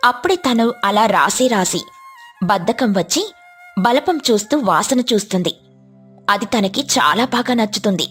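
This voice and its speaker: A woman's voice: medium pitch, lively intonation, normal speed, a bit bright. A woman storyteller reading a scripted children's story narration. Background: music.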